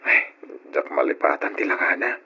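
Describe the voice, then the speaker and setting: A man's voice: deep voice, lively intonation, moderate pace, pushed and bright, slightly rough, lo-fi sound, heavily acted. A man acting an earnest, lovestruck young migrant worker in an old radio drama, speaking conversationally. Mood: shy, friendly.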